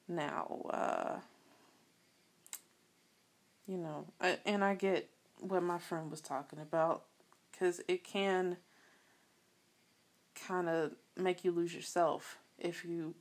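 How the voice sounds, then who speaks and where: A woman hosting a solo podcast, talking casually in a soft voice, rambling a bit and chatting straight to her listeners. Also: on the low side. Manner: rambling, laughs often, addresses listeners as y'all. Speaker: podcast host.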